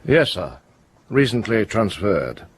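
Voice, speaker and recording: An actor playing a grave, unflinching police inspector in a radio drama, blunt and matter-of-fact. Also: male voice, lowish voice, very animated, slow, slight background noise. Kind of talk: British radio drama acting.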